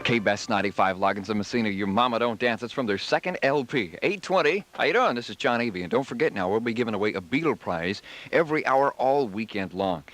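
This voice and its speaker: A man with a fairly low American voice presents 1970s music radio as the station's DJ and newsreader. It comes from an old radio aircheck, with faint music around the voice. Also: expressive, quick pace, a bit bright. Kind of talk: 1970s music radio DJ patter and news reading.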